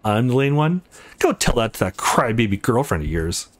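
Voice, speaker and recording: Man acting out a sneering, cocky young male game bully, contemptuous, with swinging pitch. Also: very animated, moderate pace, dry recording, lightly acted. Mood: scornful. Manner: taunting, contemptuous.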